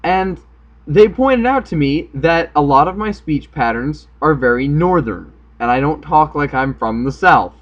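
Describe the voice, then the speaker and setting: A man's voice: a little high, animated, measured pace, lo-fi sound. A man from Florida with a subtly Northern American accent, chatting casually and unscripted to camera in a wry, jokey, argumentative way. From Florida, United States. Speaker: online video host.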